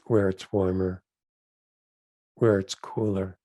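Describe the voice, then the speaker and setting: A man leading a guided meditation as a rabbi, phrasing each instruction gently and deliberately, with pauses between short phrases. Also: low-pitched, level tone, dry recording, studio quality.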